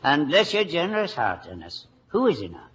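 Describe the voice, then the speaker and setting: A man's voice: a little high, wildly swooping pitch, fairly quick, very noisy. Irish man lilting whimsical older radio voice. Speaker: guest star. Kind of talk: 1940s radio acting and banter. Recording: dull old radio broadcast.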